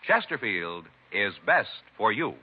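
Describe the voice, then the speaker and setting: A dull vintage radio recording of a male announcer. His lowish voice swoops expressively as he reads formal, emphatic copy in 1950s style. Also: big pitch swings, slow pace, very noisy.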